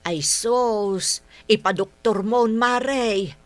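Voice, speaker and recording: A woman's voice: a little high, drawn-out words, no room sound. A woman playing a sympathetic friend giving advice in a radio drama, with a Filipino accent. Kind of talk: Ilocano radio drama acting.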